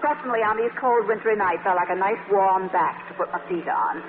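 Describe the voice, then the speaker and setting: A man's voice: high voice, fairly quick, a crowd in the background, natural voice. An actor playing a sardonic, teasing magazine staff man in 1940s radio drama, with a dry manner. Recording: tinny, band-limited old radio broadcast.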